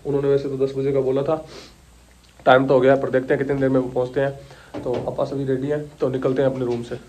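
A man's voice: medium pitch, level tone, fast talker, a little mellow. Chatty young man vlogging outdoors. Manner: chatty, talks straight to viewers. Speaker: travel vlogger. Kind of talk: casual walk-and-talk vlog narration. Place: outdoors in a busy street market. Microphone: camera-mounted mic. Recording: busy outdoor street noise.

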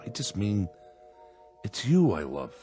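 An actor playing a guilty middle-aged husband, defensive and pleading in a radio drama. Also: male voice, on the low side, wildly swooping pitch, slow, warm tone, faint music behind, full character voice.

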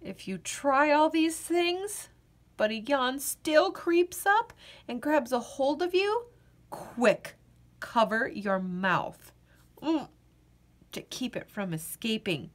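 Slightly high, unhurried woman reading a picture book aloud to children, playful and expressive. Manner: playful and expressive, acting out yawns.

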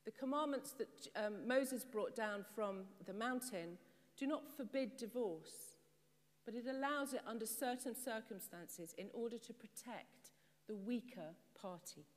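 Middle-aged woman priest; measured sermon, higher voice, long church reverb. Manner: measured, explanatory preaching with rhetorical questions. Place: stone church, at a pulpit. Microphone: far camera.

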